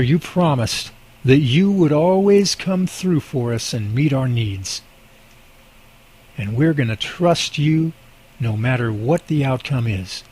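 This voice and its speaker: A man's voice: medium pitch, a little mellow, husky. A man playing an anxious, earnest, hard-up husband in an amateur radio drama, speaking expressively. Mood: prayerful.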